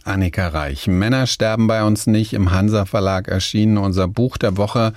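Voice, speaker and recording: A man's voice: fairly low pitch, normal speed, over music. A man hosting a radio book segment in a conversational interviewing style. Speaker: radio host.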